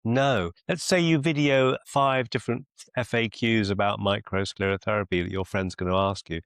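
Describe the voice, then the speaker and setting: A man coaching one-to-one on a video call as a mentor, deliberate and encouraging, often repeating phrases for emphasis. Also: lowish voice, fairly even intonation, clear, resonant tone, dry recording. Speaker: business mentor and clinician.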